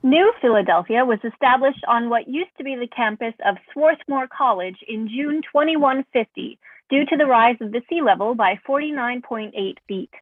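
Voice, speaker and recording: A woman's voice: a little high, lively intonation, normal speed. A woman playing a polite, literal robot assistant in a science fiction drama reading, speaking formally and precisely through a thin phone-like sound. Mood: factual, formal.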